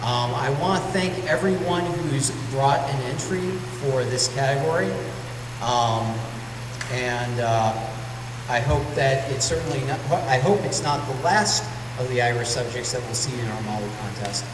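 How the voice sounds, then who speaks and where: A man presenting awards at a model contest, speaking haltingly with many fillers over a PA in a museum hall. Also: medium pitch. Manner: halting, many ums and uhs. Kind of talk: public announcement over a PA. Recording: echoey hall from far off with noise.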